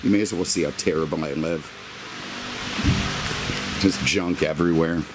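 A man's voice: low voice, fairly even intonation, moderate pace, over loud music. A middle-aged American man giving a rambling, casual car walkaround with wry, self-deprecating, exasperated humor and mild swearing. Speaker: car review vlogger.

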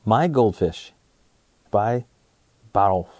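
A man in his thirties presenting and reading a children's storybook aloud in an expressive way, speaking to young listeners. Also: on the low side, slow pace, no room sound. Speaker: host of a children's read-aloud show.

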